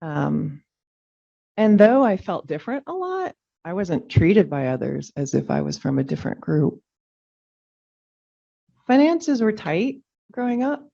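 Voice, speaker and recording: Middle-aged lady, danish first language, chair's goodbye talk, low mellow voice, lots of ums, dull lo-fi sound. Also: big pitch swings, vocal fry. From California. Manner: reflective, halting, with frequent ums. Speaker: commission chair. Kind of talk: personal farewell speech at a public meeting. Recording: dull lo-fi meeting audio.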